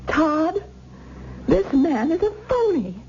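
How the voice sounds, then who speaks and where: A woman's voice: very high voice, big pitch swings, takes it slowly, darkish tone, heavily acted. A woman playing a brave, stubborn captive wife, intense and defiant, in old-time radio thriller style. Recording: dull, hissy old radio recording.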